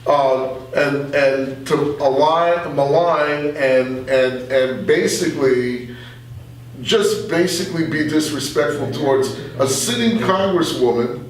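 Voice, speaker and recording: A middle-aged man speaking as an interview guest, conversational but earnest, in a halting way with repeated phrases. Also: fairly high pitch. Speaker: radio journalist interview guest. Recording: very echoey room, far from the mic.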